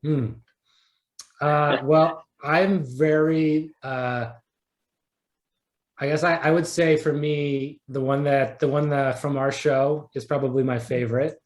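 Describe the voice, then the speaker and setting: A man's voice: on the high side, steady tone, slow. A man speaking as a podcast guest in casual interview chat, enthusiastic and rambling, often starting over and repeating words. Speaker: podcast guest, audio drama writer.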